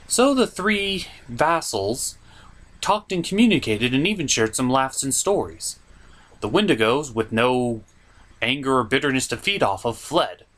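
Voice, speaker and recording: An animated comedy reviewer narrating with a sarcastic edge. The pitch swings widely as the host leans on key words, blunt and sweary.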